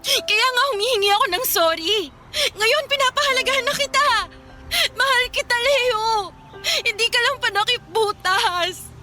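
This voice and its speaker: Very high-pitched lovesick young woman. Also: animated, normal speed, music in the background. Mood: pleading. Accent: Filipino. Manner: emotional, pleading, melodramatic. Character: jealous, lovesick young woman, clingy and hot-tempered. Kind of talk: Filipino radio drama acting.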